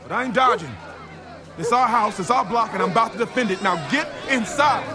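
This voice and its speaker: Defiant, high-pitched young man. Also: brisk. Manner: urgent, forceful, high-strung. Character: defiant young man, son defending his house. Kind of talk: radio drama acting. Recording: loud music and crowd uproar behind.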